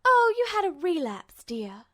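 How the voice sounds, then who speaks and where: A woman's voice: very high-pitched, very animated, unhurried, a bit bright, voice pushed up, heavily acted. A woman voicing a patronizing, sickly-sweet female nurse in a storybook, cooing as if to a small child. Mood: sweetly warm.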